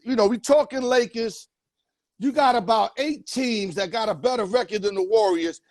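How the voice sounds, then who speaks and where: A man's voice: high voice, moderate pace, darkish tone, dry recording, clean studio sound. A man hosting a casual sports talk show, loose and joking, full of street slang. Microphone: headset or earpiece.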